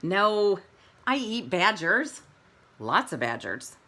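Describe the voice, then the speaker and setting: A woman voicing a hungry, sly wolf with a slow, deliberate delivery in a children's picture book read-aloud. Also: medium pitch, sing-song, pushed and bright, full character voice. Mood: matter-of-fact.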